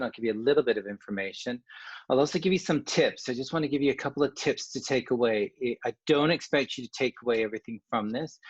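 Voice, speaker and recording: A man's voice: medium pitch, husky, dry recording, highs cut off. A Canadian man, a coach, giving an online workshop presentation in a conversational way, with restarts and asides.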